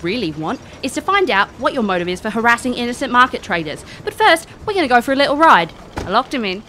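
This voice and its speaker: A woman's voice: on the high side, big pitch swings, fast, bright, forceful tone, a little hiss or hum. A woman playing a cocky, wry private investigator in an audio drama, casual and confident. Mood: cheeky. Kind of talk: audio drama acting and narration.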